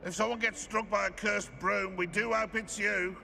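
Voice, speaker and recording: An actor voicing a grumpy, sarcastic stone gargoyle in a video game, complaining with sardonic disdain. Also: male voice, high-pitched, steady tone, moderate pace, gravelly, sharp tone, a little hiss or hum, full character voice. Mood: scornful. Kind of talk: video game character voice acting.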